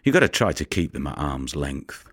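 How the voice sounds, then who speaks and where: Very deep, husky, weary fisherman. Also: big pitch swings, normal speed, dry recording, studio quality, full character voice. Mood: wary, uneasy. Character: world-weary, wary Italian fisherman, wry and guarded storyteller. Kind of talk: dramatic monologue in an audio play.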